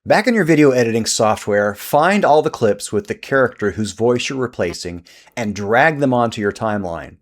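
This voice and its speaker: A man narrating a scripted software tutorial as its host. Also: medium pitch, lively intonation, moderate pace, few pauses.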